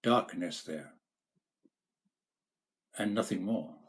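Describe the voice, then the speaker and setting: An Englishman giving a dramatic recitation of a gothic poem in a theatrical, deliberate manner, with long pauses and hushed drops. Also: deep voice, breathy edge. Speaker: amateur theatre performer, reader.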